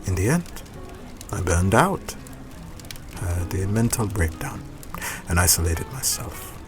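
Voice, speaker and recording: A kindly gentleman of the streets in an audio drama. His pitch is a little low and moves around a lot, his wording formal and reassuring. Music plays clearly behind him. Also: expressive, takes it slowly. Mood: wistful. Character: gentle, courteous homeless man, former computer engineer.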